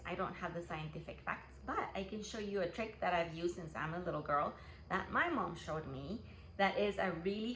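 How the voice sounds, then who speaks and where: A woman's voice: medium pitch, fairly bright tone, a little hiss or hum. A young woman from Guatemala hosting a kid-friendly cooking class, cheerful and upbeat, talking straight to the viewers. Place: kitchen. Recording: roomy kitchen, faint ambience.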